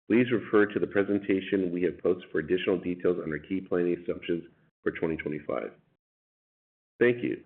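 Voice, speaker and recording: A man's voice: low voice, gravelly. A man speaking as a company executive on an earnings conference call, heard over a narrow phone line. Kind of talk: prepared financial remarks and analyst answers. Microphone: telephone conference line.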